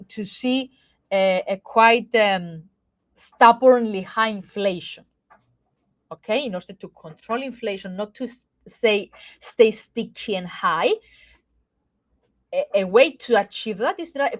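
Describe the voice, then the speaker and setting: A woman from Cyprus with a Greek Cypriot accent, explaining markets in a live webinar, halting with many fillers and restarts and often checking in with "okay?". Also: medium pitch. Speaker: market analyst.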